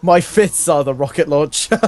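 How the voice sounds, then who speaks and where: A man's voice: fairly high pitch, expressive, normal speed, darkish tone. A man reading fan fiction aloud in a casual, comic way, amused and often breaking into laughter.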